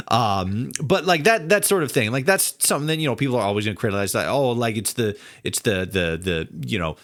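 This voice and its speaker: A man hosting a casual interview, talking in a rambling, halting way and circling back over his phrases. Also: mid-range voice, animated, fairly bright tone. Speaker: podcast host interviewing a guest. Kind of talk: casual long-winded interview chat.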